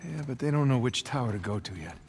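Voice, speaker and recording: A man's voice: medium pitch, animated, quick pace, darkish tone, a little hiss or hum, close to an everyday voice. An actor playing a wry, easygoing treasure hunter in his thirties, speaking casually with an American accent. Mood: focused. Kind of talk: naturalistic video game acting.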